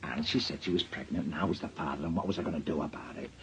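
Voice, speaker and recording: A man's voice: rumbling low, racing. An actor playing an anxious married man in a naturalistic radio drama, with a Northern English accent and a hesitant, defensive, pleading delivery. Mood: subdued, recounting. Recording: dull lo-fi audio, faint noise.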